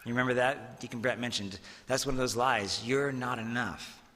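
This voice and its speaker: Bright-voiced priest preaching in a reverberant church. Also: male voice, medium pitch, fairly even intonation. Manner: earnest, measured preaching with rhetorical questions. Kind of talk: sermon.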